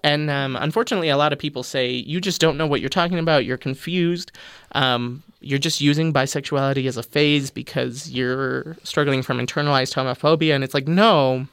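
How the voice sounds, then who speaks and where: A man's voice: medium pitch, fairly quick, vocal fry, clean tone, no room sound, clean studio sound. A young man with a slight lisp, talking earnestly and expressively in a casual panel discussion. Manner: expressive, animated, explaining earnestly. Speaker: panel guest.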